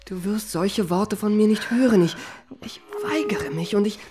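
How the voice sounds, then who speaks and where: An actor playing a courteous, quietly cocky twenty-year-old lift boy in a radio play, smooth and elaborately polite. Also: sits high, moderate pace, close to an everyday voice. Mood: tender, wounded. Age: young adult. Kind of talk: German radio play acting.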